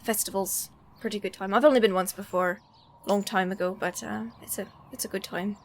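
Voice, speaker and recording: A woman's voice: medium pitch, fairly even intonation, fairly quick, a little hiss or hum, lightly acted. A woman playing a guarded, laconic female adventurer in a fantasy game, with terse, understated speech. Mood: dry, guarded.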